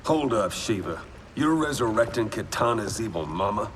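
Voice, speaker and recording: An actor playing a blunt, wisecracking, streetwise fighter in a video game cut-scene, slangy and suspicious. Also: male voice, on the low side, animated, moderate pace, natural voice. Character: brash, streetwise, wisecracking tough male fighter. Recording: noisy mechanical ambience.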